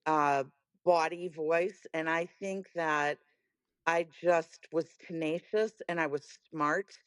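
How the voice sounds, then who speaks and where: Raspy woman, candid podcast guest, bold emphatic delivery, dry mic. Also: deep voice, fairly even intonation, slow pace, no room sound. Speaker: guest, music booker. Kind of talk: podcast conversation.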